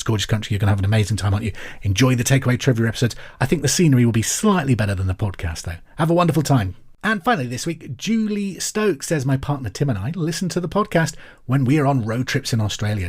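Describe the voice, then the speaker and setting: A man's voice: mid-range voice, very animated, fast, darkish tone, breathy edge. Upbeat English male podcast quizmaster. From England. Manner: upbeat, friendly, conversational. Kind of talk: chatty podcast presenting, reading out listener messages.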